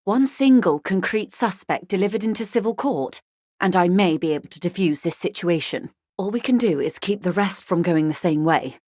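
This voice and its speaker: A woman voicing a composed, shrewd corporate negotiator in a video game, speaking in a measured, formal, articulate way with frank gravity. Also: on the low side, lively intonation, darkish tone, no echo, clean studio sound. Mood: grave warning. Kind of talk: scripted video game character dialogue.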